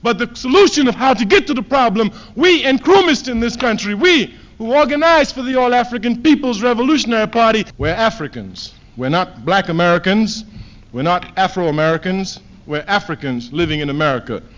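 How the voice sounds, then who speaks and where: A man from Trinidad giving a fiery political speech, emphatic and repeating his phrases, swinging from loud declarations to low asides. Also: expressive, fairly quick. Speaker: political speaker. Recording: dull lo-fi archive recording.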